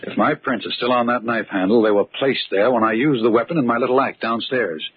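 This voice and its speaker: An actor playing a calm, shrewd stockbroker and amateur magician in a 1940s radio mystery, speaking in a measured, inquisitive way. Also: male voice, medium pitch, slightly rough, lightly acted. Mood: pondering. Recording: tinny, noisy old radio recording.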